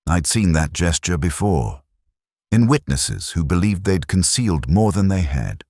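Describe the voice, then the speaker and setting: A man playing a brooding, literary police inspector who narrates his case in a measured, reflective, melancholic way, as in a scripted audio drama. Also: sits low, lively intonation, a little mellow, dry recording, studio quality, full character voice. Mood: pensive, wary.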